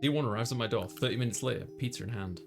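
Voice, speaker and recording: A man's voice: medium pitch, racing. Man reading a game story over music. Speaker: gaming streamer narrating visual novel. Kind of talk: read-aloud story narration with casual chat. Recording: clear music behind the voice.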